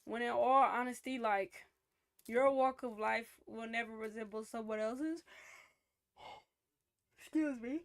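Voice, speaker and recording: A young woman talks through her reactions in a relaxed, chatty streaming style, with a slightly high voice. She reflects out loud and speaks from a young adult's point of view. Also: a little slow, long pauses. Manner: reflective, chatty, faith-minded. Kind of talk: casual reaction commentary.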